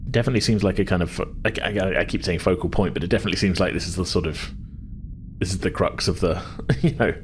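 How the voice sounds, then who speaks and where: Low-voiced man narrating a tabletop game. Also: quick pace, slight background noise. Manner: hesitant, searching phrasing with hedged descriptions. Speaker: game master.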